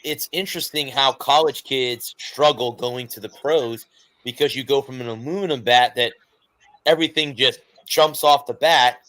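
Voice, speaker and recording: A man's voice: mid-range voice, normal speed, fairly bright tone, no room sound, rough recording. A man co-hosting a casual sports talk show, explaining at length and correcting himself as he goes. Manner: rambling, self-correcting explanations. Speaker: co-host.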